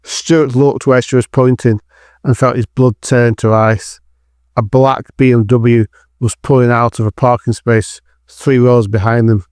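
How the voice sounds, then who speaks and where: A man's voice: medium pitch, level tone, a little slow, darkish tone, clear, resonant tone, dry recording, studio quality. A man narrating a scripted thriller drama in the third person.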